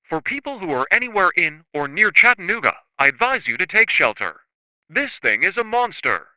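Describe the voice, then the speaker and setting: TV weatherman: a man as an urgent forecaster, high, tense and crisp, no room echo. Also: sing-song, moderate pace, sharp tone, heavily acted. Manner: urgent, emphatic broadcasting. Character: alarmed male TV weatherman.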